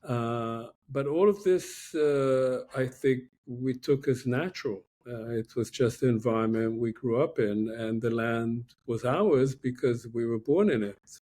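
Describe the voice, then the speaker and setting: A man in his sixties from Israel, a guest professor giving reflective, measured interview answers with many pauses over a laptop video call from a room at home. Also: medium pitch, dark tone. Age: 60s.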